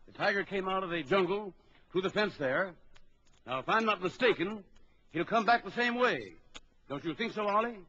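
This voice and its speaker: An actor playing a bold big-game trapper in an old children's adventure record, briskly instructing and giving orders. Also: male voice, high voice, animated, bright, forceful tone, full character voice. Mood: confident, instructive. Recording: dull old record with background crowd noise.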